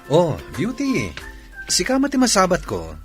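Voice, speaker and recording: A man playing a scheming, smitten insurance manager in a radio drama, with animated delivery. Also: sits high, unhurried, soft background music, heavily acted. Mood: surprised, flirting.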